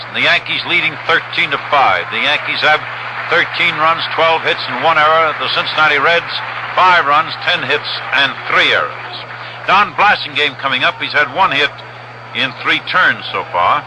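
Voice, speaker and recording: A man with an American accent giving measured, descriptive live radio commentary as a baseball play-by-play announcer. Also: a little high. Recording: noisy vintage radio broadcast. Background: steady stadium noise outdoors.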